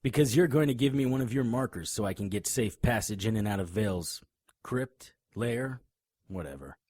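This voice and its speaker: A man's voice: fairly low pitch, lively intonation, moderate pace, darkish tone, no echo, clean studio sound. An actor playing a tough, righteous male private detective in an audio drama, confrontational and sardonic. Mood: firm, resolute. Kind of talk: hardboiled audio drama acting.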